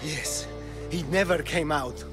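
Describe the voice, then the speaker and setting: An actor playing a guilt-ridden, gentle old Greek man in a video game cutscene, grave and halting. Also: on the high side. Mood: grave, regretful. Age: older. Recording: loud music behind.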